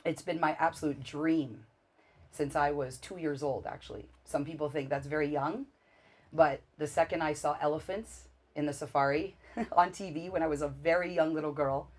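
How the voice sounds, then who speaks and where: A woman's voice: low-pitched, steady tone, normal speed. A woman in her forties from Canada, a guest telling stories eagerly in a casual interview. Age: 40s. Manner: warm, enthusiastic storytelling with many fillers.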